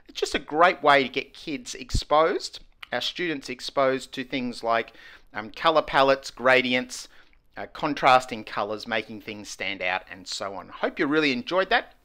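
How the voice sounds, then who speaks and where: A man walks through a tutorial step by step. His voice is bright and his pitch moves a lot. It sounds like a small indoor room with a close earphone mic. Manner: upbeat step-by-step explaining. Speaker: educational technology presenter. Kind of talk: screen-recorded tutorial walkthrough. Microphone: wired earphone headset mic.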